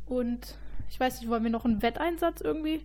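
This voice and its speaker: A woman's voice: high voice, fast, background noise. A woman co-hosting a podcast, giving matter-of-fact opinions in a casual football chat. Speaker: podcast co-host.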